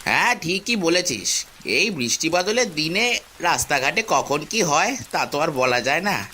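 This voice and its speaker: A man's voice: a little high, sing-song, unhurried, bright, forceful tone, slight background noise, pitched above the performer's own voice, full character voice. A man voicing a fussy, caring elderly village uncle in a cartoon, speaking in a folksy, urging way. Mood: concerned, urging. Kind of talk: cartoon voice acting.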